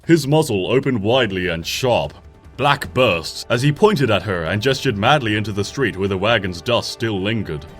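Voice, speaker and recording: A man narrating a story from a script in an expressive, measured audiobook style, with music playing behind him. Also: fairly low pitch. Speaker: fan fiction reader, narrator. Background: background music.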